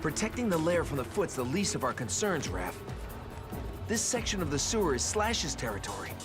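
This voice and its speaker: A man's voice: a little high, sing-song, normal speed. A man voicing an earnest, focused young mutant turtle leader in a cartoon video game, serious and commanding. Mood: serious, resolute. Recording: loud music behind the voice.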